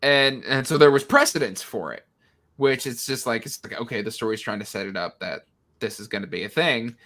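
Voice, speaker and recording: A man's voice: medium pitch, fairly quick, a bit bright. A man chatting casually as a panelist in a book discussion, animatedly acting out characters' lines in a mocking way. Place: indoors on a livestream video call.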